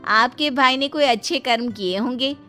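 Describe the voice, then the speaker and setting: A woman voicing a gentle, modest sister-in-law and proud mother in a moral story, polite and reasoning. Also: high voice, moderate pace, faint music behind. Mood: modest pride.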